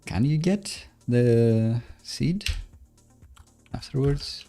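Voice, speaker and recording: A man casually commentating as he codes live, musing and questioning himself in a halting way. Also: mid-range voice, expressive, warm tone, soft background music. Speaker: live-streaming programmer host. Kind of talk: casual live coding commentary, thinking aloud.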